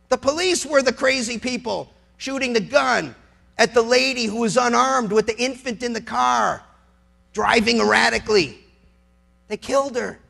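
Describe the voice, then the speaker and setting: A man's voice: very high voice, gravelly, bright, forceful tone. A middle-aged man, a lawyer, giving an impassioned political speech in an emphatic, sarcastic way, with rhetorical questions and repeated phrases.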